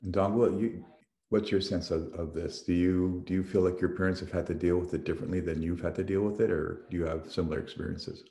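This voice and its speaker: A man hosting a panel discussion, asking measured, halting questions. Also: low voice, steady tone, creaky, warm tone, roomy sound. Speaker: host, foundation president.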